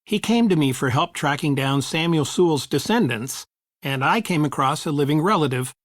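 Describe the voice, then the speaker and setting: Middle-aged dad, male, calm, affectionate, easygoing, encouraging, studio-dry game dialogue. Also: fairly high pitch, normal speed, dry recording. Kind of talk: video game voice acting.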